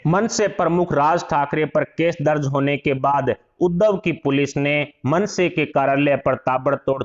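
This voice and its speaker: A man's voice: medium pitch, dull audio. A man reads scripted political news as a voice-over narrator.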